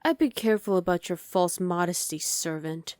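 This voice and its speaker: Woman playing a low, velvety evil queen. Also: normal speed, a little mellow, dry recording, clean studio sound, lightly acted. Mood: menacing, commanding. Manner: commanding, condescending, coolly threatening. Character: dominant evil sorceress queen, imperious and menacing. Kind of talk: ASMR roleplay.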